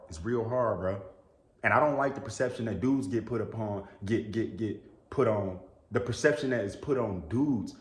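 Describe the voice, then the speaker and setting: Earnest man in an echoey room. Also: mid-range voice. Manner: earnest and preachy, repeats "you know what I'm saying" and "bro". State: sneezing, a bit sick. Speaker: YouTuber. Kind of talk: casual talk to camera. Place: indoors.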